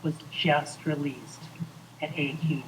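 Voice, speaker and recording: A man voicing an eager, stubborn sock puppet sidekick who talks haltingly and insistently in improvised comedy. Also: a little high, fairly even intonation. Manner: halting, repeats words, insistent. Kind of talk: improvised comic puppet banter. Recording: distant mic, clear background noise.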